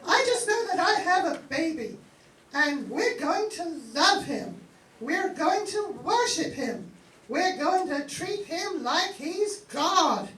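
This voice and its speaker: A very high, slow voice, a woman acting a put-upon young mother. She is plaintive and emphatic in a comic radio sketch, recorded in an echoey room. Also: fairly bright tone, distant mic, heavily acted. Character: exasperated young new mother Mary, doting and put-upon. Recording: roomy, off-mic live recording.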